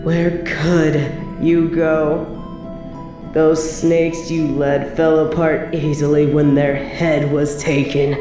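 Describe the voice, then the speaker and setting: Evil queen: possessive, cruel, theatrical, unhurried, echoey sound over music, audio roleplay. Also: a bass voice. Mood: taunting. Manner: theatrical, menacing, mock-tender, drawn-out phrasing. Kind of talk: scripted audio roleplay drama, addressed to the listener.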